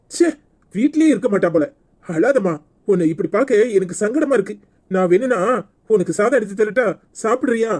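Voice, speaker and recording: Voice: medium pitch, darkish tone, breathy edge. An actor playing a male village brother-in-law in a melodrama, protective and earnest but short-tempered. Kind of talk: melodramatic village serial acting.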